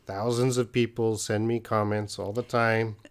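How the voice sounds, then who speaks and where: A man's voice: lowish voice, little pitch movement, measured pace, clear, resonant tone. A man hosting a live-stream English Q&A, reading out viewer comments in a casual, hesitant way with many fillers. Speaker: host of English lessons.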